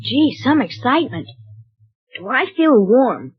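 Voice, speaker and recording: Husky, higher-voiced American radio ad woman. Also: very animated, unhurried. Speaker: radio advertising presenter. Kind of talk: 1930s radio advertising pitch. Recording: muffled 1930s radio recording.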